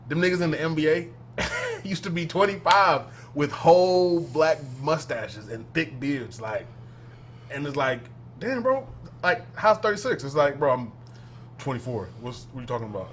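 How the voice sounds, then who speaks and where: A man's voice: fairly high pitch, normal speed, slight background noise. A man in his twenties chatting on a podcast, telling stories with lots of laughter. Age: 20s. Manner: animated storytelling, laughs often. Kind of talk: casual podcast chat.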